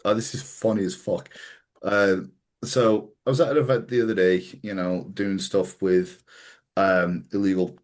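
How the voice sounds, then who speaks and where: Man, host, slightly low voice, loose sweary storytelling, casual podcast chat. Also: moderate pace. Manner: swears freely, animated storytelling with quoted voices.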